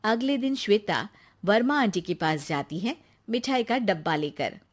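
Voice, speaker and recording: A woman narrating a scripted cartoon story in a plain, steady way. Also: medium pitch, moderate pace.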